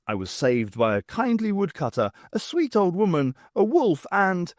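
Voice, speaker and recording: Audiobook lead: man as bossy, witty adventurer woman, theatrical, very lively pitch. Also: normal speed, no echo, clean studio sound. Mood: jaunty bluffing. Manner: decisive, stern, theatrical. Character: brisk, bossy adventurer woman, wry and quick-witted.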